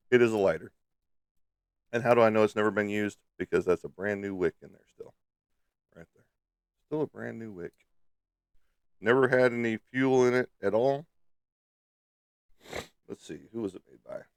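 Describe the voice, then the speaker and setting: A man's voice: on the low side, normal speed, pauses often, clean tone, no room sound. A man with a slightly stuffy nose, chatting casually and playfully to viewers as a livestream host. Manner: playful, teasing, peppered with sung sound effects.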